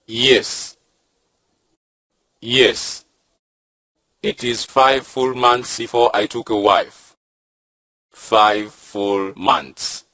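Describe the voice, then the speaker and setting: A man's voice: medium pitch, little pitch movement, very slow, long pauses, no room sound, heavily acted. Man acting a pompous old village chief. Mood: boastful. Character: proud sly old village chief, aged sixty-two. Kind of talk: student stage-play dramatization. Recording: loud music under the voice.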